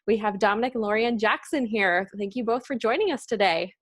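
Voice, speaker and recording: A woman's voice: mid-range voice, fairly quick, fairly bright tone. A woman hosting a college podcast interview, friendly and upbeat, laughing often. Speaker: podcast host, career services assistant director.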